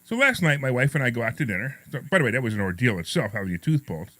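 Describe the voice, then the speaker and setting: A man's voice: mid-range voice, very animated, rapid-fire, a bit bright, no echo. Middle-aged male radio host, New York accent, slight lisp; casual, self-mocking, rambling delivery, close dry studio sound. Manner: rambling, repetitive, self-deprecating joking. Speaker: radio talk show host. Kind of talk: casual, jokey talk radio chat and anecdotes.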